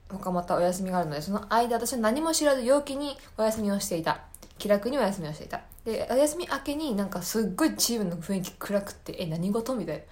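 A woman's voice: mid-range voice, darkish tone. A woman hosting a podcast, talking casually about her own life in a hesitant, meandering, self-deprecating way. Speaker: podcast host.

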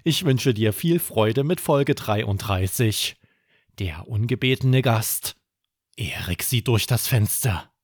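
A man narrating a scripted children's bedtime story as podcast host, with expressive, dramatic delivery. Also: fairly low pitch, slow, breathy edge, no echo, clean studio sound.

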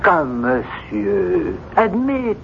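A man's voice: high voice, big pitch swings, very slow, vocal fry, exaggerated voice. An actor playing a stern, sceptical doctor questioning his patient in a 1970s radio drama, probing and dismissive. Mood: sceptical, impatient. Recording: muffled old radio recording, faint noise.

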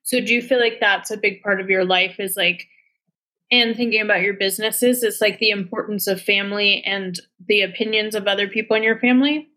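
A woman's voice: mid-range voice, fairly even intonation, a little fast, sharp tone. A woman hosting an interview in a chatty, casual way, leaning heavily on "like" as she asks her questions. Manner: chatty, lots of "like" fillers. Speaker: interview host.

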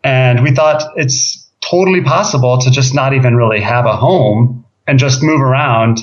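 A relaxed man from Ohio, chatting as a guest in an interview. He fills his talk with ums and repeats words as he goes. The recording sounds dull and lo-fi. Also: medium pitch, moderate pace. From Ohio, USA. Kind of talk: casual interview chat.

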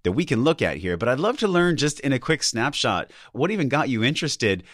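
A man's voice: medium pitch, very animated, brisk, a bit bright, no echo, clean studio sound. A man hosting an interview, asking questions in an enthusiastic, conversational way. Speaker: podcast host.

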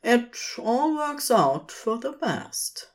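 Slow, lively-pitched woman storyteller. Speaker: podfic reader. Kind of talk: scripted fiction narration.

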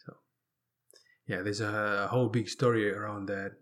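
A man from Fiji speaking as an interview guest, telling his life story conversationally and pausing often as he goes. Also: lowish voice, little pitch movement, a bit bright.

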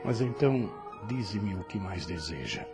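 A gentle angelic messenger of light in a radio drama, speaking with solemn tenderness in a lowish voice. Music plays clearly behind him. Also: male voice, lively intonation, normal speed. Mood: solemn kindness. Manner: solemn, tender, formal address.

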